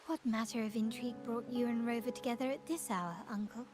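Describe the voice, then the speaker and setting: A woman's voice: fairly high pitch, fairly even intonation, a little fast, lightly acted. A woman playing a poised, cunning young noblewoman in a video game, polite, composed and formal. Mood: sly, composed. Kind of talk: scripted video game dramatic acting.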